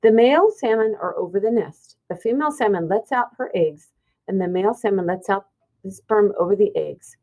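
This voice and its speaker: A woman's voice: medium pitch, animated, a little fast, narrow, tinny audio. A woman teacher reading a children's science book aloud, explaining and pointing things out simply.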